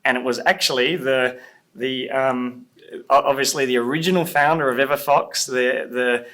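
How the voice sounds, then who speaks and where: A man with an Australian accent, a podcast guest who talks with dry, self-deprecating humor and halting fillers. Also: mid-range voice, fairly even intonation, fairly bright tone. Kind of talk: casual interview chat.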